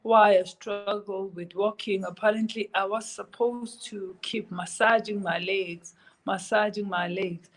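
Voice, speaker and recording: A woman's voice: mid-range voice, steady tone, unhurried, poor audio. A woman with a South African accent, recovering from illness and giving earnest, repeated, pleading advice as an interview guest. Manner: urgent, pleading advice with repetition. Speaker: guest sharing her recovery story. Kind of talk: earnest personal testimony.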